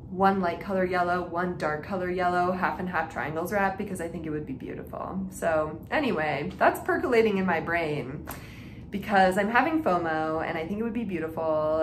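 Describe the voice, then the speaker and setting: Female hobby podcast host, medium pitch, informal and chatty, self-interrupting, roomy home-style recording. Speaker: knitting and reading podcast host. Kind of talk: casual chat to viewers. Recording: echoey room with faint background noise.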